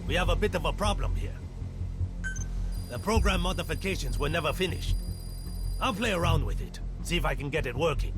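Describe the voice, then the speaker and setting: A man's voice: fairly high pitch, lively intonation, brisk, pauses often, music in the background, lightly acted. A man playing a plain-spoken, good-natured military engineer in a video game, with a folksy, informal manner. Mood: practical.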